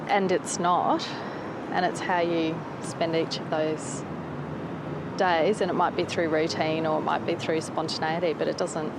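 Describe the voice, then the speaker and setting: A woman's voice: fairly low pitch, background noise. A woman answering a street interview outdoors, thoughtful and a little hesitant.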